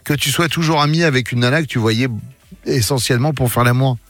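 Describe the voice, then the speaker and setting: A man's voice: mid-range voice, fast, dry recording, soft background music. A man hosting a morning radio show, chatting playfully with callers and joking around in a studio. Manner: joking, teasing, upbeat. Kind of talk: lively radio chat with callers. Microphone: studio microphone.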